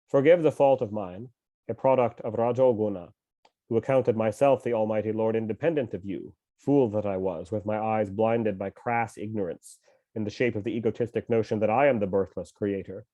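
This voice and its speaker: A man who is mildly ill with a cough reads a scripture translation aloud at home, in a measured, slow recitation with pauses. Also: on the low side, dark tone, no room sound, lo-fi sound. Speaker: scripture reader and teacher.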